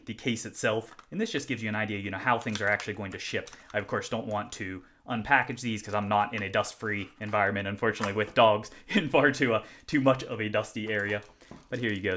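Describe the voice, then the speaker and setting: A man's voice: on the low side, bright, forceful tone, slight background noise. A man reviewing watches, talking casually through an unboxing at home, chatty and self-deprecating with many ums and restarts. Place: home room, indoors.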